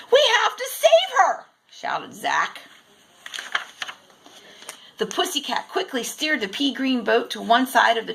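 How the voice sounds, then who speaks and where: A woman narrates a children's story aloud with lots of expression. Her voice is bright and lively, with some creak, and she tells it deliberately. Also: on the high side, a little hiss or hum, rough recording. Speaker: storybook reader.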